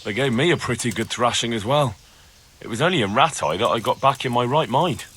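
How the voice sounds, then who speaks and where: An actor playing an earnest, plain-spoken young blacksmith's son in a video game cutscene. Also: male voice, medium pitch, lively intonation, a little fast, a bit bright, slightly rough. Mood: frank, easygoing. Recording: music behind the dialogue.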